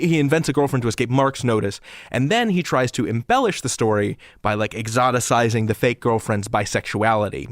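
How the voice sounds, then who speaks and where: A male interviewer with a mid-pitched voice asks thoughtful, probing questions. His voice sounds dry and close to the mic. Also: no echo.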